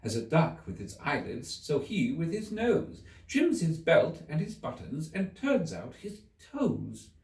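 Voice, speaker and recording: A man's voice: fairly high pitch, huge pitch swings, a little slow, gravelly, hardly any pauses, darkish tone, pitched above the performer's own voice, heavily acted. A man voicing a polite, timid, puzzled young girl in a storybook reading, tentative and earnest. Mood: nervous reciting. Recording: echoey room, distant mic.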